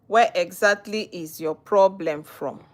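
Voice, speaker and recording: Brief: female livestream host, Nigerian accent, slightly low voice, teasing and questioning. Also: a little slow. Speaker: livestream host, legal practitioner. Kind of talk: live chat reading viewer comments.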